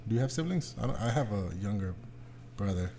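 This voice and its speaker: A man's voice: fairly low pitch, expressive, quick pace, dark tone. Crude, joking man in a noisy room. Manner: crude, swearing, teasing. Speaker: podcast host. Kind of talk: casual joking group chat.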